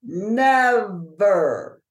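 A woman with a deeper voice, an author and actor talking frankly and with energy as an interview guest. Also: very slow, reverberant. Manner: frank, lively storyteller. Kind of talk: casual interview conversation.

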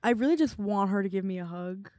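Bubbly young woman with mellow tone. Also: medium pitch, lively intonation, quick pace, dry recording, clean studio sound. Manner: bubbly, laughs often, slangy. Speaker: podcast co-host. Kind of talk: casual chat.

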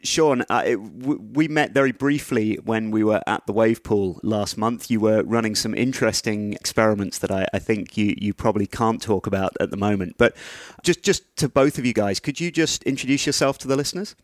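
A man with a low voice hosts a casual interview podcast. He talks in a loose, relaxed way, full of fillers and restarts. The sound is dry and close. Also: brisk, few pauses, dry recording. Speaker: podcast host.

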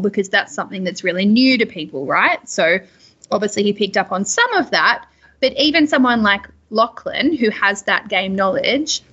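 A woman's voice: medium pitch, fairly bright tone, dull audio. An Australian woman co-hosting a podcast, talking thoughtfully and chattily about an earlier interview. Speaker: podcast co-host. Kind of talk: podcast commentary.

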